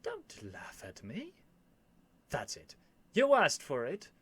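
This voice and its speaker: A man's voice: a little high, wildly swooping pitch, slow pace, a bit bright, breathy edge, no echo, heavily acted. A man playing a young royal prince in a scripted ASMR roleplay, earnest and lovestruck, speaking in formal, courtly phrases with haughty airs and flustered hesitations. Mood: mock threatening. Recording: faint background noise.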